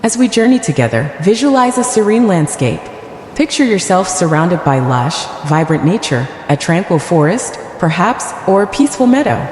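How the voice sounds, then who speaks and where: A woman leading a scripted guided meditation in a gentle, soothing, deliberate manner. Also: on the low side, very animated, reverberant, big-room sound, a little hiss or hum.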